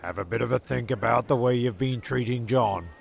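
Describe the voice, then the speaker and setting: A man playing a flustered, touchy narrator among castaways in a comedy radio play, halting and repeating himself. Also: mid-range voice, vocal fry, slight background noise. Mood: hesitant. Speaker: narrator and character.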